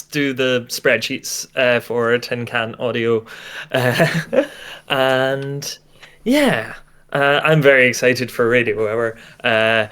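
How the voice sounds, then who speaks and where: A man's voice: mid-range voice, a bit bright, clear, resonant tone. A man co-hosting a livestream, introducing himself casually over an online call. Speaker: co-host, production manager.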